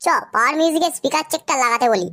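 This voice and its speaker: A woman voicing an excitable, crude-mouthed young village boy in a cartoon comedy. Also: sits high, rapid-fire. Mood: cocky, impatient. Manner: crude, slangy, animated banter. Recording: music playing behind the voice.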